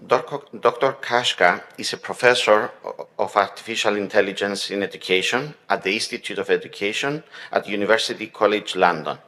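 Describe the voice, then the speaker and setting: Guy introducing the keynote, low voice, a bit halting, restarts words. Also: fairly bright tone. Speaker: conference chair introducing the speaker. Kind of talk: formal introduction.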